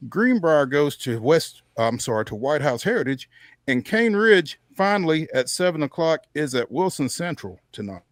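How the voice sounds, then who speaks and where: A man's voice: fairly high pitch, sing-song, moderate pace, no echo, clean studio sound. An American man hosting a sports show, reading through a local games schedule in a conversational way with off-the-cuff asides.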